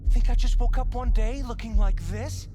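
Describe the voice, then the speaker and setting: A woman's voice: a little high, moderate pace, natural voice. An actress playing a proud, world-weary nightclub woman in a video game. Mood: proud, cutting. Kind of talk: video game drama acting. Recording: loud music behind the voice.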